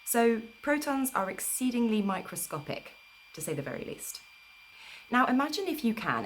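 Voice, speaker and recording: A woman reading a book aloud in a measured, deliberate storyteller's delivery on an amateur livestream recording. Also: mid-range voice, a little hiss or hum, poor audio. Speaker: storyteller reading an audiobook live. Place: informal livestream, not a studio.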